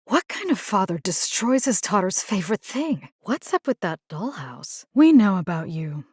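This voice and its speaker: A woman's voice: medium pitch, normal speed, slightly rough, no room sound, studio quality. A woman voicing a plucky, caring video game combat engineer, casual and wry. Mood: curious, wry. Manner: casual, wry, expressive, slipping into Spanish slang. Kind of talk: video game character voice lines.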